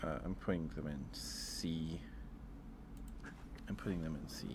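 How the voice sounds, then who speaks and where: A man with a low voice talks slowly and softly, as in a casual ASMR livestream. He muses aloud to his viewers in a hesitant, informal way. Clear background noise sits behind his voice. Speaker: live streamer hosting an ASMR stream.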